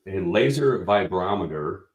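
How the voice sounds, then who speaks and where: A man's voice: sits low, lively intonation, measured pace, echoey room. A man representing a product company, explaining speaker design in a casual technical walkthrough with many fillers and restarts.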